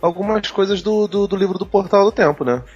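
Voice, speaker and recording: Halting Brazilian man on a muffled connection. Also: high-pitched, breathy edge. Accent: Brazilian Portuguese. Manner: halting, repeating words as he goes. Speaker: podcast panelist. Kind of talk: casual podcast discussion. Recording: dull lo-fi sound, music behind.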